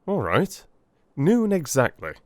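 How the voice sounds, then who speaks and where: Man acting a wry, curious gentleman army doctor. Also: fairly high pitch, huge pitch swings, takes it slowly, no echo, voice pushed up, heavily acted. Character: weary but curious young ex-army doctor, polite, droll. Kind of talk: theatrical audiobook character acting.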